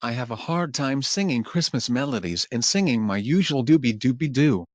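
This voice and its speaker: A man plays a swinging singer lamppost from a homemade cartoon. The voice sits at a medium pitch and has a laid-back, complaining delivery. The recording is dry. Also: expressive, moderate pace, no room sound, highs cut off, natural voice. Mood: casual complaining. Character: swinging crooner Christmas lamppost with a sore throat.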